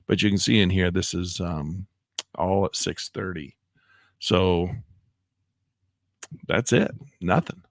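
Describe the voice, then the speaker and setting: A man's voice: deep voice, slow, vocal fry, pauses often, no echo, studio quality. A man hosting a live trading session, rambling and correcting himself as he talks through charts, his voice congested from allergies and a cold. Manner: rambling, self-correcting, excited about the market. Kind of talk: live screen-share trading commentary.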